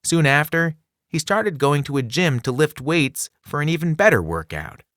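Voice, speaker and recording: A man reading a children's nonfiction book aloud as scripted narration, enunciating clearly with a dramatic storytelling delivery. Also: medium pitch, fairly bright tone, no echo, studio quality. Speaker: audiobook reader.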